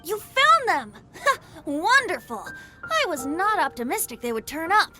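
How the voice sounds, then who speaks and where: A woman's voice: extremely high pitch, sing-song, a little slow, loud music behind the voice, full character voice. A woman voicing a whimsical young male traveling bard with flowery, theatrical phrasing in an anime-style game. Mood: grateful. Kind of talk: anime game character acting.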